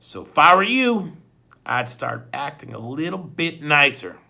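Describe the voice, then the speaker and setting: A man voicing a sneering, threatening henchman in a storybook read-aloud, with a croaking voice and sharp, emphatic threats. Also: on the high side, sing-song, unhurried, voice pushed up, full character voice. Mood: menacing.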